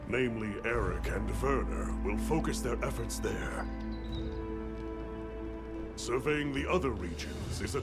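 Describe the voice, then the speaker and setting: A man's voice: mid-range voice, vocal fry. A man playing a composed, authoritative commander in a video game, speaking formally and precisely. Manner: formal, precise, deliberate briefing.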